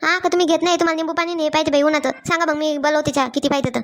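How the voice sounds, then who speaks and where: A woman plays a nagging cartoon village housewife with a high voice. She talks extremely fast, impatient and complaining. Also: level tone, a bit bright. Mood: brisk. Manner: complaining, colloquial. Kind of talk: Marathi comedy cartoon dialogue.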